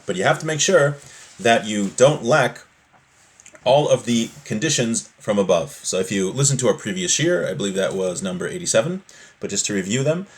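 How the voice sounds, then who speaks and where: A man teaching an informal religious text class, explaining each point in plain, everyday words and repeating it for clarity. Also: fairly low pitch.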